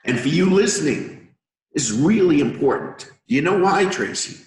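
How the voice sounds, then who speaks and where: Hoarse man lecturing in an echoey room. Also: a little high, expressive, normal speed. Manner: confident, lecturing with analogies. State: hoarse from a cold. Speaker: healer hosting an interview. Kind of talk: conversational interview, explaining. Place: room in Thailand.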